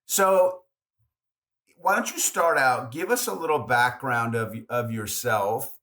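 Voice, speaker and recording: A man hosts a podcast interview in a mid-range voice. He asks his questions in a relaxed, friendly way and steers the talk with easy prompts. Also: medium pitch, takes it slowly. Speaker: podcast host. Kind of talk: conversational interviewing.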